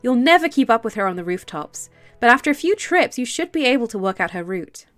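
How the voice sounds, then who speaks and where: A woman streaming a game, chatting casually and reading its text aloud, excitable and quick to laugh, with a stuffy nose and sore throat from a cold. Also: faint music behind. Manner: excitable, laughs easily, bursts into high delight.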